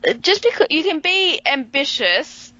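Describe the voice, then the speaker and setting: Woman co-host with a faint Southern twang, higher-pitched, playful and argumentative. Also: big pitch swings, bright, forceful tone. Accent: hints of Southern American. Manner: playful, argumentative, drawn-out emphasis. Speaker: podcast co-host. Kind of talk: casual chat. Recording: dull lo-fi podcast audio.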